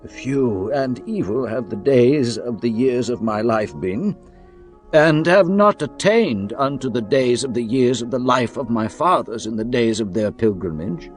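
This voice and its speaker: A man voicing a weary, humble aged patriarch in a dramatized scripture reading, solemn and measured. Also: mid-range voice, animated, natural voice. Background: faint music.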